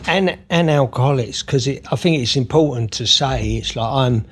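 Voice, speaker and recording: Male podcast guest, mid-pitched, open and meandering, stop-start delivery. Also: moderate pace. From Scotland. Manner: rambling, open, many fillers and restarts. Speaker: podcast guest, therapist and author. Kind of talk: conversational interview.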